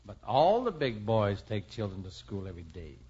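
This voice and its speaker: An actor playing a smug, teasing teenage werewolf brother in a boyish, mocking way for a 1950s radio comedy. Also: male voice, fairly low pitch, big pitch swings, normal speed, very noisy. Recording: muffled old radio recording.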